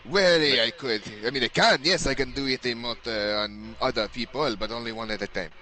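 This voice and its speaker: Man putting on bright, sly adventurer. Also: medium pitch, natural voice. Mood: flustered. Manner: halting, stagey phrasing with French interjections.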